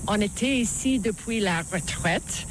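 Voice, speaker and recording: Older Canadian woman, slow-spoken. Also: mid-range voice. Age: 60s or older. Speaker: local guide, interview guest. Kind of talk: casual conversational introduction. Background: faint noise.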